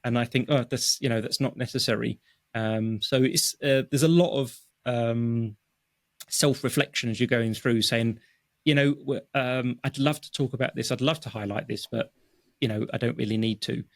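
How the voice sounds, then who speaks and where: A man who speaks English as his first language talks through his writing process as an interview guest. He is thoughtful and halting, full of fillers and restarts. His voice sits in a middle register and sounds dry and close to the mic. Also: medium pitch, steady tone, dry recording. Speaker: guest, technical writer. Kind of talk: conversational interview. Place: home office.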